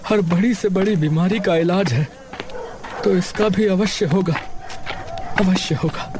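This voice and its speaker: A man's voice: sits high, a little slow, background noise. A man acting a distraught, stubborn, devoted husband in a dramatized moral story, agitated and stammering over repeated words. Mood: anguished, defiant.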